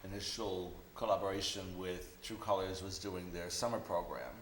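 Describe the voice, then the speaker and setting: A man's voice: sits low, fairly even intonation, normal speed, fairly bright tone. A man being interviewed, casually recounting memories in a loose, hesitant storytelling manner. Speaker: interview guest, illustrator. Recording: roomy echo with background noise.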